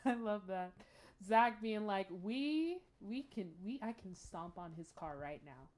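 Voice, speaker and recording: A woman hosting a casual reaction show, confiding and expressive, with dramatic emphasis. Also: medium pitch, a little slow.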